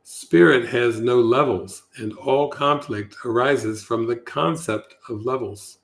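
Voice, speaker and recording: A man speaking as a spiritual teacher in a devotional setting, with a measured and earnest delivery. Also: medium pitch, creaky. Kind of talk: devotional reading and informal spiritual talk.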